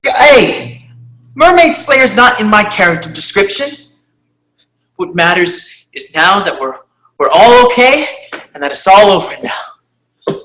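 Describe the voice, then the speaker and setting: A woman's voice: mid-range voice, very animated, a little slow, long pauses, fairly bright tone, echoey room, rough recording. An actress at a table read playing a bubbly teenage girl who gets defensive and exclaims often.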